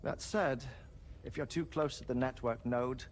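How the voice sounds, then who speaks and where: A man's voice: mid-range voice, unhurried, slight background noise, close to an everyday voice. An actor playing a matter-of-fact crewman in a video game, with a formal, careful way of talking. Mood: businesslike. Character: matter-of-fact male crewman advising on fire support. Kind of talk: video game cutscene acting.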